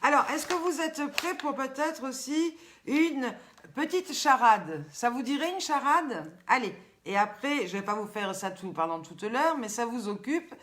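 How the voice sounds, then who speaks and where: An older woman with a warm Southern French accent runs a live show for her viewers. She talks in a lively, teasing way, posing riddles and calling out to people by name. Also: high-pitched, unhurried. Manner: lively, teasing, chatty, reads viewers' comments aloud. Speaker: host. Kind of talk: live-stream chat with viewers.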